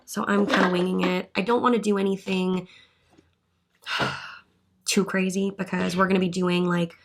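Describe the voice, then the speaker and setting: A woman's voice: fairly low pitch, gravelly, noisy background. Chatty woman with a slight cold. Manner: chatty, upbeat, thinking aloud while working. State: congested from a cold, sniffly. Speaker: beauty vlogger, hair and makeup artist. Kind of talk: casual get-ready-with-me tutorial.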